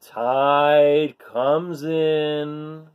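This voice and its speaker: A man voicing a bored, envious storybook barnacle with a deadpan, drawn-out, complaining delivery. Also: fairly high pitch, clear, resonant tone, narrow, tinny audio. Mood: bored, gloomy. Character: bored, grumbling, envious barnacle from a picture book. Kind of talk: storybook read-aloud.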